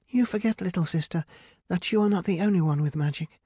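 A man's voice: high voice, fairly quick, dark tone, slightly rough, no room sound, pitched above the performer's own voice. A man voicing a cautious, scolding young woman, an insistent elder sister in a fantasy storybook.

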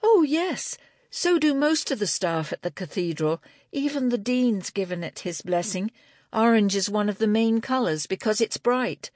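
A woman's voice: mid-range voice, expressive, moderate pace, a little mellow, no echo, studio quality, pitched above the performer's own voice, lightly acted. A woman narrator voicing an earnest, peacemaking woman who knits, in an audiobook. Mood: explaining. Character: earnest woman knitter, apologetic peacemaking friend.

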